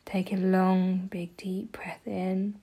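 Female meditation guide, mellow and breathy, with an even, barely moving pitch and soothing, gentle instruction. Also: slow pace. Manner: soft, gentle delivery, often breathy.

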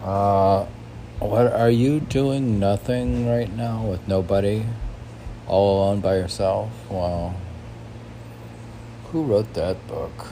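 A man's voice: fairly low pitch, steady tone, slow, pauses often, mellow, slight background noise, poor audio. A man reading poetry and commenting on it in rambling, casual asides, often as if talking to himself. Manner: talks to himself in questioning asides. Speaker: poet reading and commenting on own poems.

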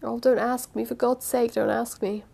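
A woman's voice: medium pitch, fairly even intonation, a little fast, mellow, natural voice. A woman voicing a frightened, faint niece in an audiobook, pleading urgently in despair with repeated phrases. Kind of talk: audiobook character voice.

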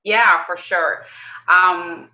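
A woman's voice: on the low side, fairly even intonation, very slow, lo-fi sound. A woman, a guest speaking earnestly and hesitantly, with frequent ums and restarts. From Venezuela. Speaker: guest, health coach and business owner. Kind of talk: conversational interview.

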